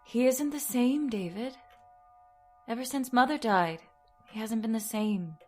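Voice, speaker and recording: A grieving, fearful young heroine in a radio horror melodrama. Her voice is high and plaintive. Music sits softly behind her. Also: a little slow. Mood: sorrowful, subdued. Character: frightened, grieving young woman in a horror tale. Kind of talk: old-time radio melodrama acting.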